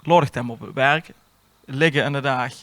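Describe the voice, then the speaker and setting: A man telling an everyday anecdote in a halting, colloquial podcast chat. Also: mid-range voice, fairly bright tone, no room sound. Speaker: podcast host.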